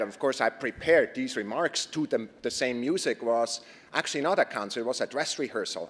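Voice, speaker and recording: A man with a Swiss accent giving an earnest conference talk, posing rhetorical questions and pausing on fillers. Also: medium pitch. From Switzerland. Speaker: conference presenter. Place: conference stage. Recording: reverberant lecture hall.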